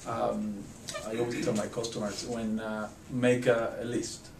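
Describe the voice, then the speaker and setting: A man with a Cuban Spanish accent, a handyman answering interview questions in a halting way, full of fillers and restarts. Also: on the low side, flat delivery, vocal fry. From Cuba. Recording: roomy, distant mic, ambient noise.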